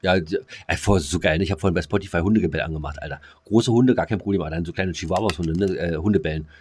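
A man's voice: low voice, quick pace, slightly rough. A man chatting casually as a podcast co-host in a laid-back, slangy manner. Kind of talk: casual chat.

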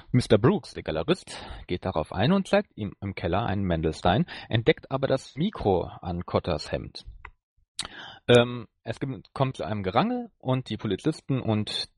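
A man with a lowish, husky voice talks casually as a podcast co-host. He recounts in a halting way, and the sound is dry and close. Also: a little mellow, no room sound. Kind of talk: casual chat reviewing an audio drama.

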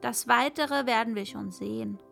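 A woman's voice: a little high, normal speed, gravelly, fairly bright tone, soft background music. A woman voicing a wild, bossy robber girl in a read-aloud fairy tale, brash and teasing.

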